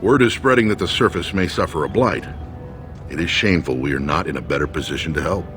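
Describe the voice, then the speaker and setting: Serious loyal dwarf aide, kinda low voice, formal n careful, video game fantasy. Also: male voice, fairly bright tone, faint music behind. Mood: courteous, guarded. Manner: formal, measured. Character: earnest dwarf nobleman's second, guarded and loyal. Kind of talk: dramatic fantasy game acting.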